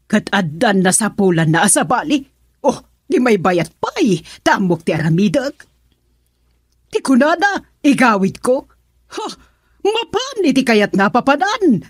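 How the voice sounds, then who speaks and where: An actress playing a suspicious, wounded middle-aged mother in a Filipino radio drama, with a husky voice and Filipino accent. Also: on the high side, sing-song, unhurried, no room sound, clean studio sound, full character voice. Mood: bitter, agitated.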